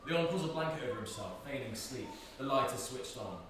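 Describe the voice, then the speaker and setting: Male narrator for stage directions: scripted, mid-pitched, very reverberant room, clear background noise. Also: lively intonation, a little fast. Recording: very echoey, distant mic, noisy.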